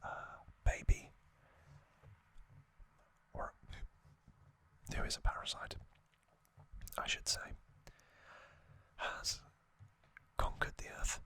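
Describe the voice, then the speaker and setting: ASMR narrator, sex open: sustained whisper, very unhurried, halting short phrases, explanatory recap delivery. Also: pushed and bright. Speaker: host of an ASMR channel.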